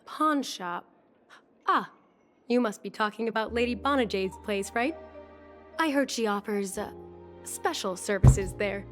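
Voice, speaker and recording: A woman's voice: mid-range voice, expressive, unhurried, pauses often, soft background music, close to an everyday voice. An actress voicing a polite, helpful young female attendant in a video game, with a courteous manner. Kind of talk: scripted game dialogue.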